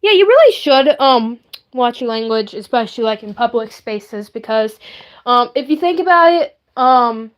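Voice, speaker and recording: A woman's voice: fairly high pitch, animated, moderate pace. A young woman chatting casually on a live stream in a rambling, hesitant way, often repeating herself.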